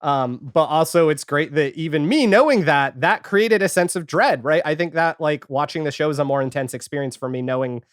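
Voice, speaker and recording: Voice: mid-range voice, expressive, fairly quick, hardly any pauses, clean tone, no room sound, clean studio sound. A man chatting casually as a podcast guest, rambling and retelling things with quoted reactions. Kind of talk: casual podcast chat about a TV series.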